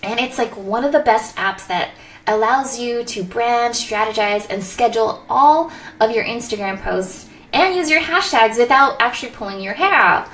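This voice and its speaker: A woman's voice: on the high side, lively intonation, normal speed, fairly bright tone, a little hiss or hum, poor audio. Upbeat woman hosting a live stream. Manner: enthusiastic, upbeat, laughs often. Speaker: host of a live show.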